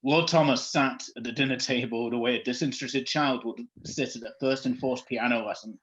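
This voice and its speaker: A man's voice: medium pitch, steady tone, fairly quick, a bit bright, lo-fi sound. A man reading fan fiction aloud for comedy, often breaking off into amused, self-mocking asides.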